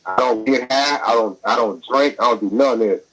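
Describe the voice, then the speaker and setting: A man's voice: mid-range voice, fairly quick, over music. A man with a Southern accent talking over a bad phone line, rambling and defensive in slangy speech. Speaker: caller. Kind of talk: phone call on air.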